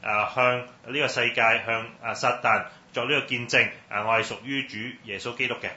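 A man's voice: mid-range voice, steady tone, a little fast, sharp tone. A man interpreting a testimony phrase by phrase at church, opening each phrase with a filler. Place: church hall. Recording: roomy, muffled hall sound.

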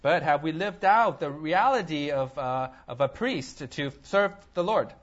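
A man interpreting a sermon phrase by phrase, often halting as he searches for his words. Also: fairly high pitch, lively intonation. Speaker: church interpreter. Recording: dull, lo-fi audio.

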